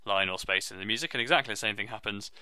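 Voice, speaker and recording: A man with a low, deep voice teaching music theory in an online lesson, explaining step by step in a friendly, self-deprecating way. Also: very fast, bright, forceful tone, clear, resonant tone, dry recording.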